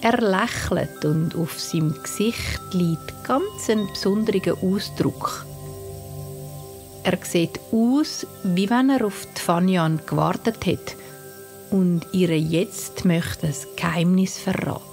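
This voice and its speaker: A woman with a Swiss German accent narrating a scripted bedtime story for children in a gentle, soothing manner. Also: fairly low pitch. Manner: slow, gentle, soothing delivery. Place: studio. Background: soft music and sound design.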